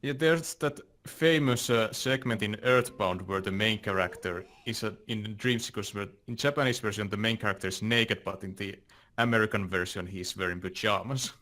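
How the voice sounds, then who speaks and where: A man's voice: lowish voice, normal speed, bright, forceful tone. A man giving casual co-commentary on a video game in a group chat.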